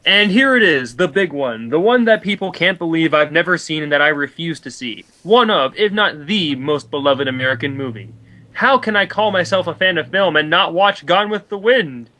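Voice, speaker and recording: Stubborn, offended male film snob, put on by a man, highish voice, pompous declamatory comedy delivery. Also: expressive, moderate pace, pushed and bright, low-quality recording. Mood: self-important, offended.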